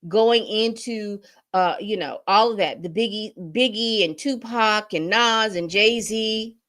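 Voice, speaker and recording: A woman's voice: medium pitch, takes it slowly, a bit bright. A woman in her fifties giving a casual commentary monologue, emphatic and repetitive, often restarting her sentences. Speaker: commentary host.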